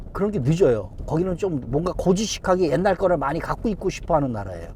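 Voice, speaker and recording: Easygoing man, 50s, taxi driver interviewee, high lively voice, chuckles a lot, faint noise behind. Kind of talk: relaxed interview answers.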